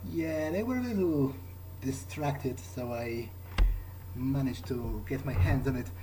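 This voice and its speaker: A man role-playing through a video game, thinking aloud in character in a low-key, hesitant way. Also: slow pace, background noise. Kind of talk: in-character musing during gameplay. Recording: music behind the voice.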